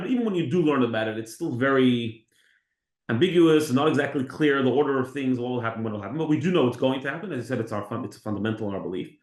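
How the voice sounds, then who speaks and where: A man co-hosting a conversational religious discussion, speaking informally and posing questions. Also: medium pitch. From Australia. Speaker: co-host of a religious discussion show. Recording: echoey room.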